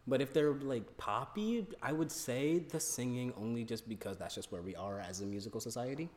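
A man's voice: medium pitch, fairly quick, a little mellow, a little hiss or hum. A young adult man co-hosting a podcast in casual chat, arguing his point emphatically and swearing. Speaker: podcast co-host. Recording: reverberant room.

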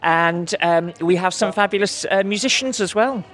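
A man with a slightly high voice talks in a live interview. He sounds friendly but hesitant, pausing on fillers. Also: a bit bright.

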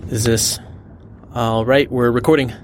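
A man's voice: mid-range voice, steady tone, a little slow, background noise, close to an everyday voice. An actor playing a nervous, eager young male temp archivist in an audio drama, hesitant and apologetic as he stumbles over his words. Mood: unsure.

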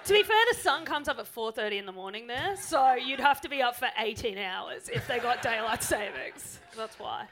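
Australian female comedian, panel guest, casual banter, slightly high voice, live recording with a little noise. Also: lively intonation, moderate pace, few pauses, fairly bright tone. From Brisbane, Australia. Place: live venue stage with audience. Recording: live show with faint background noise.

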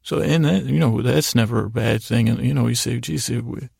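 A man hosting a bedtime podcast in a rambling, halting monologue, soothing and gently self-deprecating, with a gravelly voice. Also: mid-range voice, dry recording, studio quality. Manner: meandering, halting, frequently derailing his own sentences. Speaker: podcast host. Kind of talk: rambling bedtime monologue to help listeners fall asleep.

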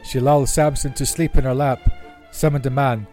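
A man's voice: medium pitch, steady tone, normal speed, mellow. A man reading Bible stories aloud as scripted narration. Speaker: Bible reader. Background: classical orchestral music.

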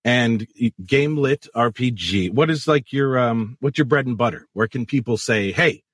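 A man's voice: mid-range voice, normal speed, no echo, studio quality. A man hosting a casual podcast, joking crudely and swearing a lot while interviewing his guest. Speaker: podcast host.

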